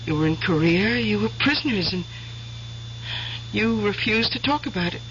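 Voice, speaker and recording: An actress playing an affectionate, curious young expectant wife in a radio drama. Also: female voice, mid-range voice, expressive, normal speed, fairly bright tone, close to an everyday voice. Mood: curious, probing. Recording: dull hissy old radio recording.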